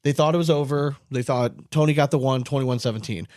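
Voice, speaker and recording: A man's voice: medium pitch, fairly quick, a little mellow, no room sound, studio quality. A man co-hosting a sports podcast, talking casually and animatedly, rambling and swearing for emphasis. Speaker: podcast co-host.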